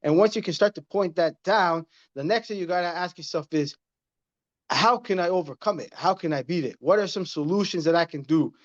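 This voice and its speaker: A man's voice: sits high, a little fast, muffled recording. A man in his thirties, a guest in a casual interview, talking earnestly and repeating his points like a motivational speaker. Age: 30s.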